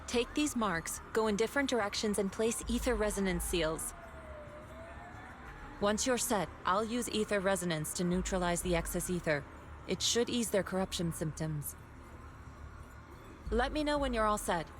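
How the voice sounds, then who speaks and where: A woman playing a calm, commanding master who gives orders to disciples in a video game. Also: mid-range voice, normal speed, long pauses, a little hiss or hum, natural voice. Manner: composed, authoritative, giving orders. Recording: game audio under loud music.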